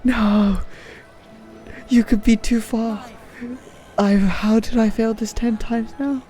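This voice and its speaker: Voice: medium pitch, level tone, takes it slowly, warm tone. A gaming streamer giving casual, sweary, self-mocking commentary, sometimes muttering. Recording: game music behind the voice.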